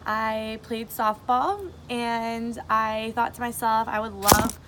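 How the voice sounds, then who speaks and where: A woman's voice: a little high, takes it slowly, heavy background noise. A bubbly 22-year-old woman, chatting eagerly to camera in a casual audition video. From Massachusetts, USA. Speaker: reality show applicant.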